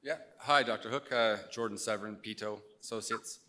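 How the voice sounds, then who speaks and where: A man with a fairly low, bright voice asks a light-hearted question from the audience. He speaks casually and plainly. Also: a little fast. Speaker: audience member asking a question.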